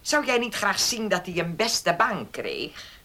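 An actress playing a domineering, scheming farm mistress in a Dutch radio play, commanding and condescending. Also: female voice, mid-range voice, sing-song, pushed and bright, heavily acted. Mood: scornful, scheming. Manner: commanding, condescending, deliberate threats.

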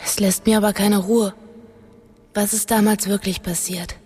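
A woman's voice: mid-range voice, flat delivery, normal speed, warm tone, slightly rough, lightly acted. An actress playing a determined young woman in a radio drama, earnest, probing and a little tentative. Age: young adult. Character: determined young woman searching for hidden truth.

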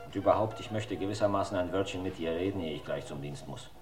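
An actor playing a grumpy, grasping older uncle in a radio crime drama, grumbling and needling. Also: male voice, low voice, fast talker, a little mellow, close to an everyday voice. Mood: wheedling. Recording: roomy radio drama recording, faint music.

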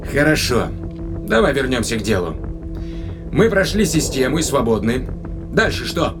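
Male actor as wary human spaceman, mid-pitched, skeptical, dryly sardonic, sci-fi audio drama, strong music bed. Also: sing-song, moderate pace, close to an everyday voice. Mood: matter-of-fact.

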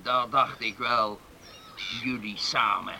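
An actor playing a stern, quick-tempered middle-aged village father in a Dutch radio play, gravelly, curt and commanding. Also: mid-range voice, fairly even intonation, pushed and bright, slight background noise, heavily acted. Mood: grimly accusing.

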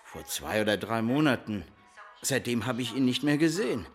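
Guy acting a shifty showbiz loudmouth in a radio crime play, slangy, pitch all over, bit of music behind. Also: animated, moderate pace, natural voice. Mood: slangy, ingratiating. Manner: slangy, swinging from indignant to ingratiating. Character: shifty, blustering male TV showbiz gun owner.

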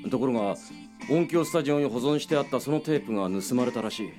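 An actor playing a gruff police detective who reports bluntly and briskly in a radio drama. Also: male voice, mid-range voice, lively intonation, music in the background, lightly acted.

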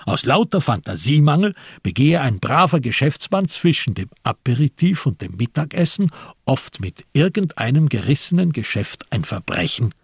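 A man with a gravelly, husky voice reading literary narration for a crime radio play. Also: medium pitch, no echo, clean studio sound. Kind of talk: scripted literary narration with reported speech.

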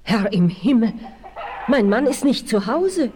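A woman's voice: a little high, sing-song, measured pace, mellow, a little hiss or hum. A woman playing an anxious, earnest farmer's wife in a 1960s German radio play. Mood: alarmed. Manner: anxious, exclamatory. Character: alarmed, earnest farmer's wife, hospitable and capable. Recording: old vinyl, busy farmyard effects.

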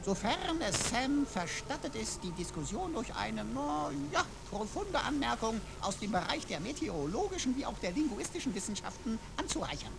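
An actor playing a smug, wisecracking computer companion who talks in pompous, florid, mock-learned phrases in a radio play. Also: male voice, very high-pitched, big pitch swings, normal speed, gravelly, few pauses, background noise, heavily acted. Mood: smug, pedantic. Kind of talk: German radio play acting.

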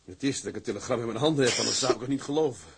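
A hearty young sea captain. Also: male voice, medium pitch, very fast, gravelly, breathy edge. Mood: tender, relieved. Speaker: radio drama actor. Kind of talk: Dutch radio drama dialogue.

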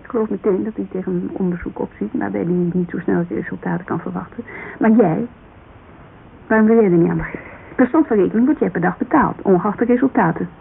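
A woman's voice: medium pitch, fast talker. An actress playing a headstrong, insistent businesswoman in a conversational Dutch radio drama, blunt and exasperated. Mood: challenging. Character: headstrong, blunt businesswoman client pressing a detective. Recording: narrow, noisy, creaky-sounding old radio broadcast.

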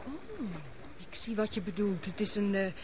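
A concerned woman on a scientific expedition played by an actress in a Dutch radio drama. She questions hesitantly and stammers over her words in a mid-pitched voice, with faint noise in the recording. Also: big pitch swings, normal speed, close to an everyday voice. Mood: sceptical, worried. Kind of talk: Dutch radio play acting.

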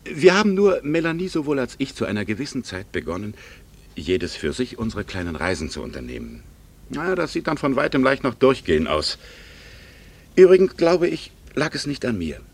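An urbane old family friend in a 1960s-style radio drama. His voice is husky and fairly low, and his delivery is dry, with gentle irony. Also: exaggerated voice. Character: wry divorced man, old family friend about to travel. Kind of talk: 1960s German radio play acting.

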